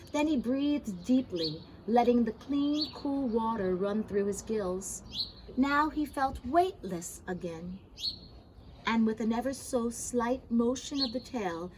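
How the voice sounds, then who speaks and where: A woman with a high voice reads a children's storybook aloud. Her delivery is expressive and very unhurried, with gentle commentary for young listeners. Faint noise runs behind her voice. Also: low-quality recording. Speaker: storybook reader.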